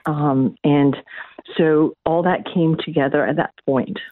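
A woman's voice: deep voice, fairly even intonation, a little slow, no room sound. A middle-aged woman from Malaysia, an academic guest answering interview questions, hesitant with many restarts. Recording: tinny phone line.

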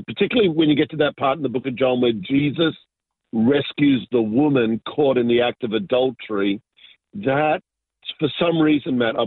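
A man, telling his story as an interview guest in a reflective, halting way full of fillers and restarts. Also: medium pitch, dry recording. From Argentina, raised in Sydney. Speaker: guest, business pastor. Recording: dry, phone-like narrow sound.

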